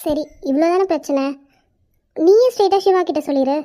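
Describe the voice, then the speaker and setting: A woman voicing a high, mellow, comforting friend. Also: a little fast. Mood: firm. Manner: soothing, firm. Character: caring, bossy young woman friend, protective.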